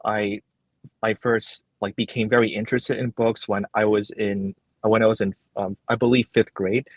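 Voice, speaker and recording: A man's voice: on the low side, flat delivery, moderate pace, no room sound. A young man whose first language is Cantonese, earnestly answering interview questions with many fillers and restarts from his workplace library. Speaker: guest.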